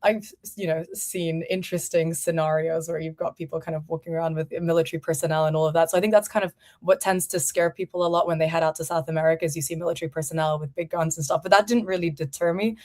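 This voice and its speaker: Low, even-toned woman from Portugal. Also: fast talker, few pauses. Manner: reflective, conversational, frequent "you know" fillers. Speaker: founder and CEO, guest. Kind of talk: casual interview conversation.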